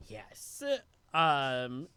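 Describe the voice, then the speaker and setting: A man's voice: medium pitch, drawn-out words, clear, resonant tone, dry recording. A man raised in New York co-hosting a podcast and chatting loosely through a guest's background, with lots of filler words. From United States (raised in New York). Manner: relaxed, rambling, many "like" fillers. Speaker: podcast co-host and actor. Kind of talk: casual chat, reading guest bio.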